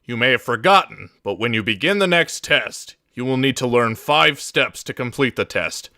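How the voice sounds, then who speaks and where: Man putting on a gravelly, declamatory company boss. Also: medium pitch, lively intonation, normal speed, pushed and bright, no room sound, studio quality. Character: brash male company boss spouting garbled announcements. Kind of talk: parody game voice lines, read from garbled machine translation.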